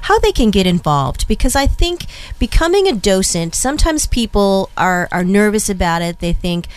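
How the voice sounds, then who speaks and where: A woman's voice: medium pitch, big pitch swings, moderate pace, clear, resonant tone, no room sound. A woman hosting an interview, talking in a chatty, enthusiastic way full of "you know" fillers. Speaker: interview host. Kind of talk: conversational interview.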